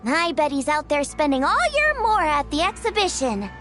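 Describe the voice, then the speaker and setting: Brief: an upbeat young chef; casual and friendly. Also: female voice, high voice, very animated, moderate pace, a bit bright, faint music behind. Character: cheerful young female cook. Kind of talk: video game voice acting.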